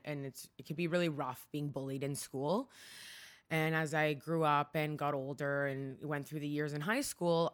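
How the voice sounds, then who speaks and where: Low-voiced Canadian woman, candid and reflective. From Ontario, Canada. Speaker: interview guest. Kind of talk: reflective personal storytelling.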